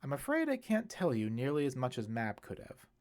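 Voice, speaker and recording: A man voicing a kindly, musing priest in an audiobook, speaking in a reflective, explanatory way with gentle wit. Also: medium pitch, wildly swooping pitch, brisk. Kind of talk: audiobook character dialogue.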